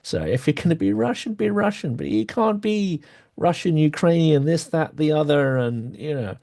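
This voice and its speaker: A man's voice: a little high, lively intonation, normal speed, warm tone. A male host in a conversational interview, building his points thoughtfully and ending them with tag questions.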